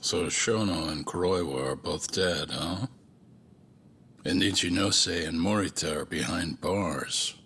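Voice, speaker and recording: Gruff, creaky old law boss. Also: male voice, deep voice, measured pace, fairly bright tone, a little hiss or hum. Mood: wry. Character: gruff elderly male law office boss, wry and stern. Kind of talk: video game cutscene acting.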